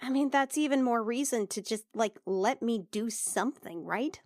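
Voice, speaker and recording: A woman's voice: on the high side, normal speed, a little mellow, no echo, studio quality, natural voice. Woman playing a hesitant, wry princess healer. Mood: frustrated.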